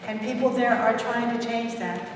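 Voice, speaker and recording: An older woman with an American accent answering questions at a public talk in an earnest, halting way. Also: on the high side, steady tone. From United States. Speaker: guest speaker. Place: large echoing indoor hall. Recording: echoey hall, distant mic, noisy.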